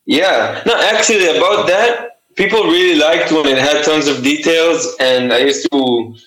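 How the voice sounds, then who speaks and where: A man's voice: on the high side, normal speed, a bit bright, roomy sound, rough recording. A young man with an Israeli accent, a native Hebrew speaker, answering interview questions about his art with many fillers and restarts. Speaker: artist and t-shirt designer.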